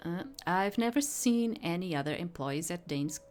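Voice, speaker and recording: Woman with kinda low voice reading thru a story game, casual, trips on words a bit, soft music behind. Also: animated, moderate pace. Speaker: gaming video creator reading a visual novel aloud. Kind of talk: read-aloud story narration with casual commentary.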